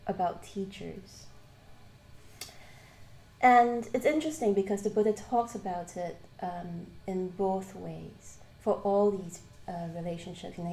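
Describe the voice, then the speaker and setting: A woman from Malaysia, teaching a live Buddhist class and asking the group questions, pausing often with ums and restarts. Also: lowish voice, dark tone. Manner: hesitant, many ums and restarts. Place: shrine room with a live class. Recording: roomy hall ambience.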